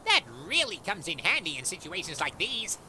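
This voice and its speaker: Woman playing a bright, swooping, theatrical show-off. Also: medium pitch, wildly swooping pitch, normal speed, gravelly, a little hiss or hum, exaggerated voice. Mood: showy, upbeat. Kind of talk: audio drama acting.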